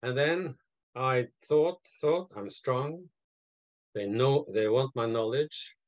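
A man's voice: mid-range voice, takes it slowly, no echo, highs cut off. An older man from Norway telling a story on a video call, hesitating with fillers and restarts. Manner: hesitant, many fillers and restarts, lively retelling. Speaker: guest, advisor and mentor. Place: home room, indoors.

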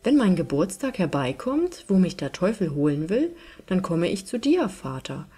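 A woman's voice: on the low side, sing-song, normal speed, dark tone, breathy edge. A woman voicing a bold, defiant teenage huntsman in a fairy-tale audiobook, firm and plain-spoken. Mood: confident. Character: bold, defiant young huntsman boy, fearless.

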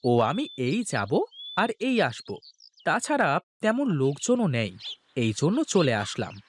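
A man voicing a humble, sentimental village boatman with colloquial rural banter in an animated folk tale. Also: on the high side, unhurried, a little hiss or hum. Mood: cheerful, busy. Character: cheerful humble village boatman, doting husband.

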